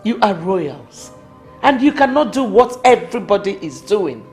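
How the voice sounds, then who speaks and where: A stern, gravelly queen mother. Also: mid-range voice, very animated, unhurried, a little mellow. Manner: stern, disapproving. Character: stern royal mother opposing a marriage. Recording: music underneath.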